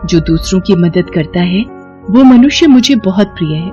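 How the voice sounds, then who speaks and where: A woman voicing a benevolent, reassuring celestial fairy with a measured, solemn manner in an animated fairy tale, music behind. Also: lowish voice, muffled recording, lightly acted. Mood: kind, solemn.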